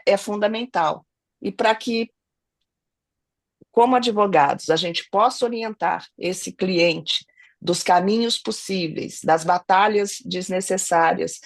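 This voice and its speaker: Hoarse, gravelly Brazilian woman lawyer. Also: lowish voice, no room sound. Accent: Brazilian Portuguese. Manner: earnest, explanatory, with frequent restarts and tag questions. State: hoarse, has a cold. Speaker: family lawyer and mediator, webinar panelist. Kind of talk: online webinar talk. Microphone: video call.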